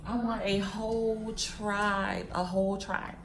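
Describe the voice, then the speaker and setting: Young woman in her twenties, chatty vlogger, repeats herself, mid pitch, faint room noise. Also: level tone, slow pace. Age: 20s. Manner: chatty, repeats phrases, addresses viewers as y'all and sis; packing wigs while talking. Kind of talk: casual chat to viewers.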